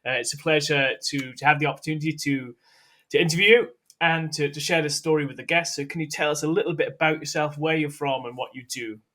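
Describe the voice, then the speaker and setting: A male podcast host with a bright, fairly high voice. He welcomes his guest in a friendly, casual way. His phrasing stumbles over repeated words. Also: steady tone, fast. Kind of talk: casual interview talk.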